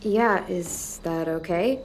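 A woman playing a guarded, weary teenage girl survivor in a video game, speaking in a measured, matter-of-fact way. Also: fairly low pitch, a little hiss or hum, low-quality recording. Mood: apologetic. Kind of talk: video game drama dialogue.

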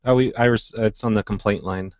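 A man with a fairly low voice hosts a prank call show, talking casually and making things up with mischievous, feigned innocence. The audio is dry and a bit muffled. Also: steady tone, fairly quick, dry recording. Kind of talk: casual improvised prank calling.

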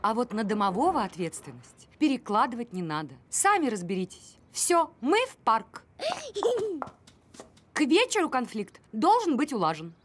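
A woman playing an exasperated, bossy mother in a cartoon, with a stern, brisk manner. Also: on the high side, big pitch swings, slight background noise. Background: music.

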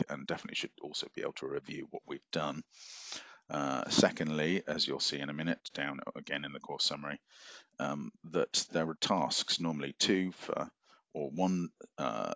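Deep gravelly guy lecturing into his webcam from an office, um and uh all over, kinda rambly. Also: pushed and bright, no room sound. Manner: rambling, many fillers and restarts. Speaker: university English lecturer. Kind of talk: recorded lecture walking through a syllabus. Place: office at a desk, screen-sharing.

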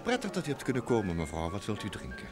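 A man's voice: on the low side, wildly swooping pitch, fast talker, music in the background. Sly young male secretary, suave, swooping intonation, old radio play. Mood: affably polite. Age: young adult. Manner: suave, talkative, theatrically polite. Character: smooth, sly thirty-year-old New York private secretary, a blackmailer. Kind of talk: vintage Dutch radio drama acting.

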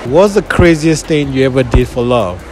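A man asking passers-by casual questions in a shopping mall, speaking slangy and relaxed. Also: medium pitch, moderate pace, noisy background. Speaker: street interviewer. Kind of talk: casual public interview. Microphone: handheld phone.

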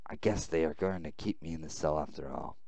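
A man voicing a weak, bitter outcast boy in a visual novel game, halting and brooding. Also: very deep voice, creaky, dark tone, pitched below the performer's own voice, full character voice. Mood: hesitant, brooding.

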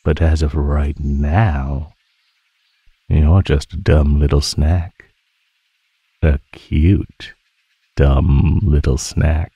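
A man voicing a teasing, menacing male naga in an ASMR roleplay, speaking in a mocking, condescending drawl with pauses. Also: a bass voice, steady tone, creaky, warm tone, husky, no room sound, lo-fi sound, heavily acted. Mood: smug, threatening. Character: teasing, menacing male naga predator toying with captive prey.

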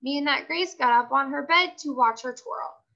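A woman reads a children's story aloud in a high, bright voice that swings freely up and down. Her delivery is childlike and expressive, and the recording is slightly dull. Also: moderate pace, gravelly.

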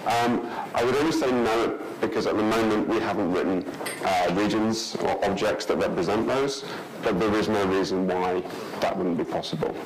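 Low-voiced man fielding questions, hesitant. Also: normal speed, hardly any pauses, music in the background. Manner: hesitant, many ums and restarts. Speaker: conference speaker. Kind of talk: answering audience questions. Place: large lecture hall. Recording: noisy hall recording.

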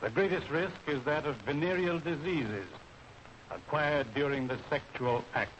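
A man's voice: a little high, a bit bright. A man with an American accent lecturing in a formal, authoritative way as an army medical officer in a 1940s training film. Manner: formal, authoritative, deliberate instruction. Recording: dull, noisy 1940s film soundtrack.

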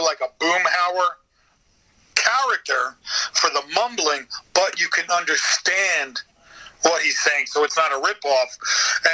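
Male American interview guest, very bright forceful tone, slightly high pitch, rambling and salty. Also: poor audio. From United States. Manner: rambling, profane. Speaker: podcast guest, radio theater voice actor. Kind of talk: casual interview chat, anecdotes.

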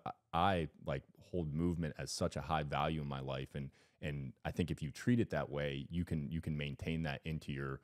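A man in his thirties, co-hosting a podcast in a studio and talking casually into a boom mic. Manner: rambling, animated, gestures a lot. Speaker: podcast co-host. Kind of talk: casual chat. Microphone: headphones and boom mic.

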